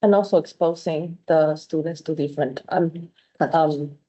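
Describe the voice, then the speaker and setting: Husky woman with an Ecuadorian accent. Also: sits low, mellow, lo-fi sound. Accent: Ecuadorian Spanish. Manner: halting, searching for words.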